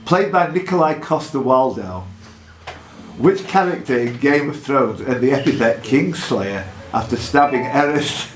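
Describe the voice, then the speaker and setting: A man hosting a live quiz in a pub, reading questions out deliberately and adding jokey asides. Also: mid-range voice, expressive. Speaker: quizmaster. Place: indoor pub. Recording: echoey pub room with background noise.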